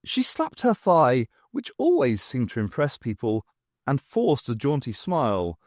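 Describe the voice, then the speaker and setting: A man narrates a story with animated, swooping delivery over a mid-pitched voice. The recording is dry and close, and his reading is dramatic and expressive, as for an audiobook. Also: unhurried, no room sound. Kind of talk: scripted fiction narration with character voices.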